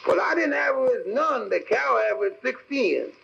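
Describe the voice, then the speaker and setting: An actor playing a simple, earnest, literal-minded country cab driver in a 1940s radio comedy. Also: male voice, extremely high pitch, expressive, normal speed, creaky, a bit bright, slight background noise, exaggerated voice. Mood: earnest, matter-of-fact. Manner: folksy, literal-minded, ungrammatical. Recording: muffled old 1940s radio recording.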